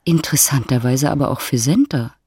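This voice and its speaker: A man's voice: medium pitch, brisk, background noise, lightly acted. A man narrating a love story in a literary audio drama. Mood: composed narrating.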